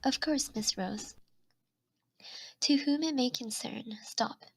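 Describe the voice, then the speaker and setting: A woman playing a meek young servant girl in a period audio drama, with breathy, hesitant, polite delivery. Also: high voice, slow, slight background noise. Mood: deferential.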